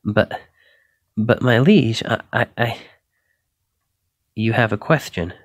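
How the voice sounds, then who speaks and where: Shy, stammering young knight, low-voiced man acting. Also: slow pace, no room sound, clean studio sound. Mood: flustered, apologetic. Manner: stammering, hesitant, apologetic. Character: shy, flustered, self-doubting young male knight. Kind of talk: ASMR roleplay acting.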